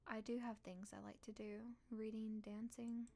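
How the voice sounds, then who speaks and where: A woman live streamer reading a visual novel aloud in a playful, expressive way with casual reactions. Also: a little high, moderate pace.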